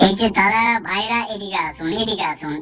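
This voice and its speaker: Performer as pushy cartoon tout, sleazy and sly, hawking in a medium female-range pitch, music clearly behind. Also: a little fast, a bit bright, natural voice. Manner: hawking, crude, insinuating. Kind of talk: Bengali comedy cartoon dubbing.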